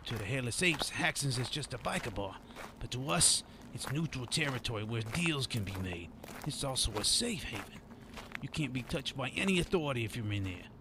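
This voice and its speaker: A shifty streetwise informant in a radio drama, played by a man. He is jittery and lively in pitch, sitting in the middle register, with clear background ambience. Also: brisk, background noise, natural voice. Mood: explaining. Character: nervous, shifty supernatural informant on the run. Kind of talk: radio drama monologue and dialogue.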